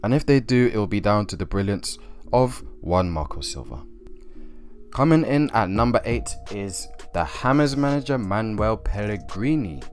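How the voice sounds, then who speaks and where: A young man hosting a football countdown, talking through his picks with chatty, opinionated asides. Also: fairly low pitch. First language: English. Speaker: football video host. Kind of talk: scripted countdown commentary.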